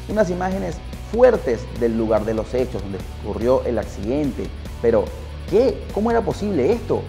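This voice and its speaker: Narrador peruano de voz oscura con mucha reverberación. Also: male voice, fairly high pitch, darkish tone. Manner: dramatic, addresses the listener with rhetorical questions. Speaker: podcast host. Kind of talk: scripted documentary storytelling for a podcast.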